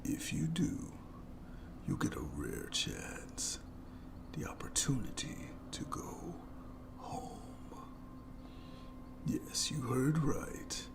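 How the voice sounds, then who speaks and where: A menacing monster, played by a man, whispers slowly in horror ASMR style, with a creaky edge to the voice. It taunts with mock courtesy, playful and sadistic, drawing out its words. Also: mid-range voice, huge pitch swings, heavily acted. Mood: mock-courteous, tempting.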